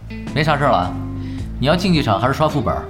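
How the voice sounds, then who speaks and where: An actor playing a relaxed, cocky veteran male gamer captain, dry and teasing in an audio drama. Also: mid-range voice, very fast, natural voice. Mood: calm. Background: background music.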